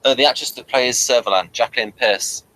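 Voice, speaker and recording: A British man hosting a casual review chat, moving haltingly from one point to the next. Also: mid-range voice, sharp tone, rough recording. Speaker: host of a TV review show.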